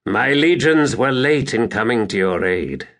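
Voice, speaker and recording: A man's voice: medium pitch, lively intonation, measured pace, fairly bright tone. A man voicing a victorious, silver-tongued warrior commander in a fantasy audiobook, speaking in a grand, formal, declamatory way. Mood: solemn, persuasive. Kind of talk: dramatic audiobook character reading.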